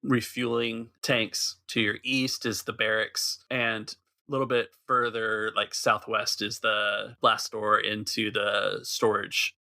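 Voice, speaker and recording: A man's voice: lowish voice, level tone, slow, vocal fry, sharp tone. A man running a tabletop game as game master, describing the map and calling for dice checks.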